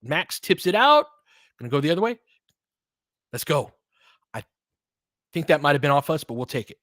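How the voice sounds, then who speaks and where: A man's voice: a little high, sing-song, normal speed, a bit bright, dry recording, studio quality. A man giving informal, partisan live basketball commentary as a host, reacting openly to the plays like a fan.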